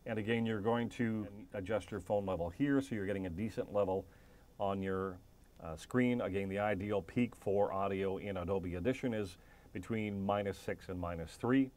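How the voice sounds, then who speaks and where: A man's voice: fairly low pitch, normal speed, darkish tone. A man narrating an instructional tutorial, explaining methodically step by step as an instructor.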